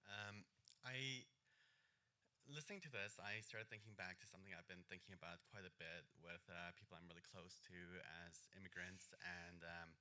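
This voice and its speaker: A man's voice: low voice, normal speed, sharp tone. A man from Korea, an audience member earnestly and hesitantly asking the panel a question. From South Korea. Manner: earnest, hesitant, many fillers.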